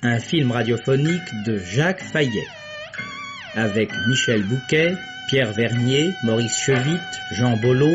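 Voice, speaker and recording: A man's voice: medium pitch, huge pitch swings, unhurried. A man formally presenting credits as an announcer in an old radio broadcast style. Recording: dull vintage radio recording over music. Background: music.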